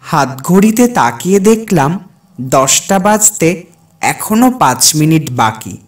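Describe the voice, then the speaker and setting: A man's voice: fairly high pitch, very animated, a little slow. A man playing a kind, earnest village doctor who narrates a dramatic cartoon ghost story in the first person. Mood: reflective. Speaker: narrator and lead character.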